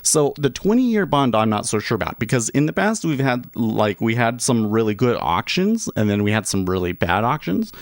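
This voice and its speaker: A man's voice: lowish voice, no echo, clean studio sound. A man in his thirties hosting a day-trading livestream, chatting casually and rambling through restarts and asides. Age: 30s. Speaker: livestream host, day trader.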